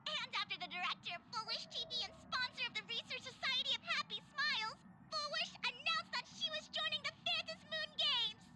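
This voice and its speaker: Snowy, a squeaky little snow bunny. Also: female voice. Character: Snowy, tiny cute cartoon snow bunny co-host. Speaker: news segment co-host. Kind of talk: scripted news presenting.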